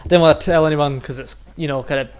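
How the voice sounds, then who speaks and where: A young Scottish man from the Highlands in his twenties, talking casually to his viewers. He rambles, swears freely and laughs often. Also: steady tone, quick pace. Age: 20s. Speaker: vlogger and youth football coach. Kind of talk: casual monologue to camera.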